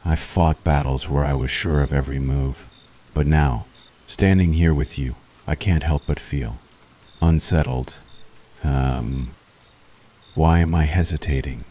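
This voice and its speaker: Very deep, brooding witch hunter acted by a man. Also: unhurried, mellow. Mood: conflicted, tense. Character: weary, conflicted male witch hunter yearning for peace, tender toward enemy. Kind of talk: ASMR boyfriend roleplay, intimate scripted monologue.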